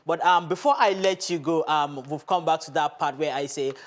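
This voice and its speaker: Higher-voiced male interviewer. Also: moderate pace. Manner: hesitant, many ums, polite. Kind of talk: broadcast interview.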